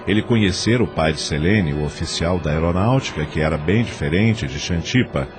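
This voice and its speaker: Um homem com sotaque brasileiro narrando uma novela de áudio a partir de um texto. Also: male voice, sits low, a little fast, over music. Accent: Brazilian. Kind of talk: scripted audio drama narration.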